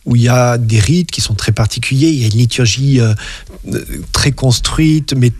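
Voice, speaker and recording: A man's voice: medium pitch, moderate pace, a little mellow, husky, no room sound. A man hosting a radio interview show, friendly and encouraging toward his guest. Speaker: radio host.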